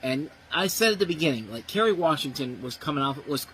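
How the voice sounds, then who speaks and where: A man's voice: on the high side, lively intonation, brisk, a bit bright, a little hiss or hum, rough recording. A man giving a blunt, profane, exasperated movie review, ranting about what went wrong. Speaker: film reviewer.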